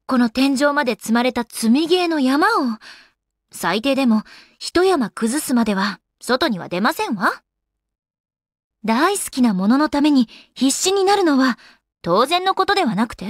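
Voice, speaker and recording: An actress voicing an elegant, teasing young goddess in a Japanese video game, with refined, polite and slightly haughty manners. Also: female voice, high voice, no room sound, clean studio sound. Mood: firm, haughty. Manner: polite, haughty ojou-sama refinement with playful coyness.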